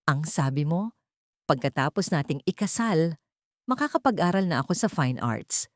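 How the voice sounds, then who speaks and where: A woman's voice: sits low, lively intonation, moderate pace, no echo. A woman narrator plays a meek young fiancée in a romantic audio drama. She sounds hurt and hesitant, pleading for understanding. The recording is very dry.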